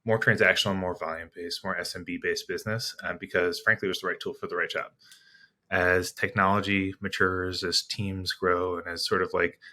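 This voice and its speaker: A man's voice: low-pitched, pushed and bright. A man speaking as an interview guest, explaining business ideas reflectively in a conversational way, building his points piece by piece. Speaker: interview guest, sales executive.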